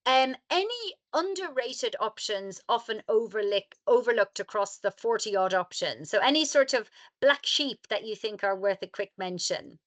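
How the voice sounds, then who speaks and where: A woman hosting a webinar, moderating in a polite, measured way. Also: a little high, animated, a bit bright. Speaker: webinar host.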